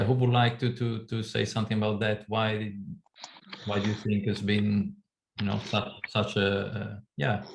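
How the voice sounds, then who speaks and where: Gravelly, hesitant man hosting a chat. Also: lowish voice, reverberant, a little hiss or hum. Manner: hesitant, halting with many fillers. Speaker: host. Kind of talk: casual video call chat.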